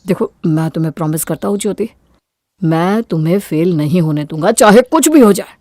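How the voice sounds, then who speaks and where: A woman's voice: fairly low pitch, expressive, fairly quick, warm tone, no echo, studio quality, close to an everyday voice. A woman voicing an earnest, devoted young male college student, insistent in manner, in an audio story. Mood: reassuring.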